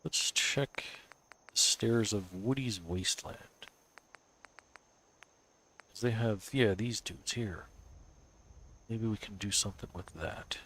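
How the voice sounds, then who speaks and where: A man's voice: fairly low pitch, measured pace, a bit bright, a little hiss or hum, poor audio. A man with a cold, stuffy and sniffly, casually thinking aloud as he builds in a game on a live stream. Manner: thinks aloud, muttering to himself and chuckling.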